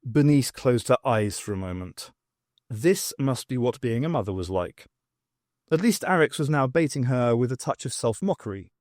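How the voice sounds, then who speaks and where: A man reading a science fiction audiobook as narrator, in an expressive storytelling manner. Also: medium pitch, normal speed, no room sound, clean studio sound.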